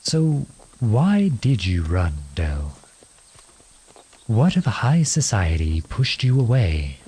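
A man playing a gentle, chivalrous young outlawed prince in a romantic fantasy audio roleplay, speaking in formal storybook phrases. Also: on the low side, very animated, slow pace, breathy edge, full character voice. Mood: gently curious. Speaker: ASMR roleplay voice actor. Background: horse hooves clip-clopping.

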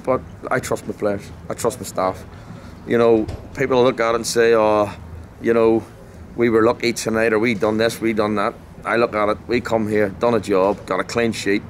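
A man in his forties, a football manager giving a blunt, passionate post-match interview outdoors at a ground at night. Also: lowish voice. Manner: emphatic, repetitive, blunt and passionate. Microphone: handheld mic held close. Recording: faint outdoor noise.

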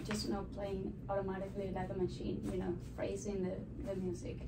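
A woman's voice: medium pitch, completely flat delivery, fairly quick. Young woman from cuba talking about guitar, lots of you know, echoey and far. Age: young adult. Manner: eager, with many "you know" fillers. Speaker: classical guitarist guest. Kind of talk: interview answers. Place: small room with a framed map on the wall. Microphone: stand mic, filmed by a far camera. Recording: roomy, distant, noisy.

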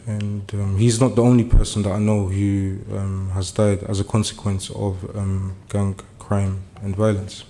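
A man's voice: fairly low pitch, little pitch movement, unhurried, few pauses, dark tone. A young man from Germany giving an earnest public speech at a meeting, hesitant and full of fillers at first, then building to urgent appeals. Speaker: community speaker. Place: meeting room.

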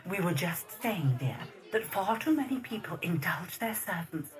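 A woman's voice: lowish voice, lively intonation, moderate pace, distant mic, soft background music, lightly acted. A woman playing a genteel, condescending middle-aged society lady in a period drama. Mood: genteel, chatty. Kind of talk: period radio drama acting.

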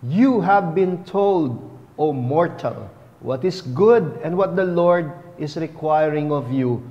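A middle-aged man, a bishop, giving a homily at a lectern in a chapel, speaking deliberately on a lapel mic. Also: fairly high pitch, animated, darkish tone, big-room sound, dull audio. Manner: slow, deliberate, teaching tone with rising emphasis. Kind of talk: sermon.

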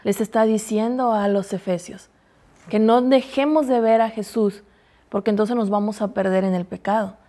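A woman's voice: medium pitch, steady tone, normal speed, mellow. A woman in her forties with a Mexican accent, a university teacher talking animatedly in a Bible study panel on a TV studio lapel mic. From Chiapas, Mexico. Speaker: university health sciences teacher.

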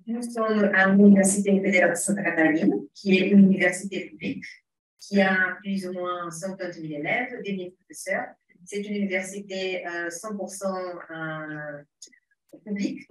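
A middle-aged Brazilian woman, a university professor, gives a conference talk in French with a Brazilian Portuguese accent. She speaks carefully, with hesitant pauses and frequent fillers. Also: lowish voice. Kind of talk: conference presentation. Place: home room, online. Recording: prerecorded video in echoey room, far from mic.

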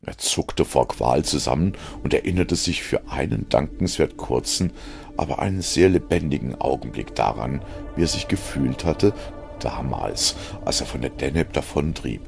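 A man's voice: rumbling low, moderate pace, gravelly. A man reading scripted science fiction as a narrator, in a dramatic style. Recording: music behind the narration. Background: music.

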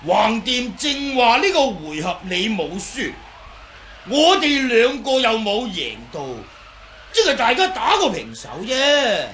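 A man's voice: very high-pitched, sing-song, slow pace, fairly bright tone, full character voice. A man with a Hong Kong Cantonese accent playing a cheeky, argumentative old martial artist and sore loser in a radio drama. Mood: wheedling. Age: older. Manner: wheedling, argumentative, exclaiming and chuckling. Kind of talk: 1980s radio drama acting. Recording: noisy old 1980s radio recording.

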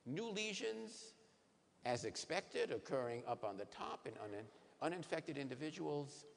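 A man in his sixties, a neurologist, giving a lively science lecture to patients on a conference stage, asking the audience questions. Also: on the high side, unhurried, creaky. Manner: animated, asks the audience questions. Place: large conference hall stage. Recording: reverberant hall, faint noise.

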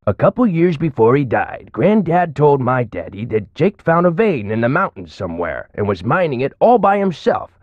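A garrulous small-town storyteller for a video game. His rural American voice is fairly high and very animated. He rambles in a folksy way, and the recording is close and dry. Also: no room sound, muffled recording.

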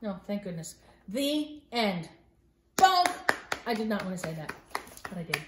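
A woman's voice: mid-range voice, very animated, a little slow, heavy background noise. A middle-aged woman reading a children's book aloud in a theatrical, playful way with mock-exasperated asides. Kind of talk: animated read-aloud of a picture book for children. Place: living room at home, indoors. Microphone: phone camera a few feet away. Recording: roomy home phone-video sound.

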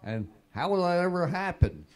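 A man's voice: high-pitched, sing-song, moderate pace. A man in the audience asking a panel a playful, pressing question, laughing between phrases in a conference room. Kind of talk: question from the floor.

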